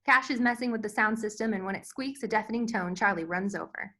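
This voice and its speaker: A woman's voice: medium pitch, quick pace, a bit bright. A woman narrating scripted stage directions at a screenplay table read.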